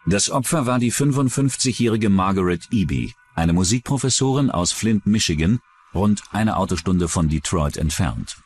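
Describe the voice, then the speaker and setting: A man's voice: on the low side, expressive, moderate pace, a little mellow, husky. A man narrating a scripted true-crime documentary as its narrator.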